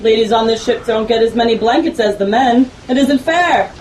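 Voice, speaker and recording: A man voicing an aggrieved lady passenger in a shrill falsetto, complaining of the cold. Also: expressive, moderate pace, a little hiss or hum, poor audio.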